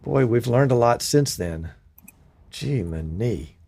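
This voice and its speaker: A middle-aged man hosting a casual streamed talk show, chatty and quick to joke and laugh with his guest. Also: medium pitch, lively intonation, vocal fry, mellow, breathy edge. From Gulf Coast near Mobile, US. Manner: chatty, jokey, laughs easily. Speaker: show host. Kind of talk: casual interview chat.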